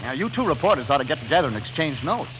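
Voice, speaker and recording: Old radio announcer guy, fast, higher voice, scripted 40s banter, muffled noisy recording. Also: very animated, a bit bright. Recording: noisy, lo-fi 1940s radio broadcast.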